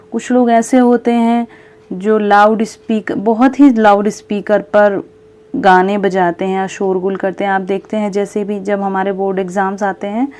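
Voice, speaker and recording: Slow-paced Indian woman teacher, mellow voice. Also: mid-range voice. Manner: deliberate, explanatory, repeats key points. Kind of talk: instructional lesson, reading English aloud and explaining in Hindi.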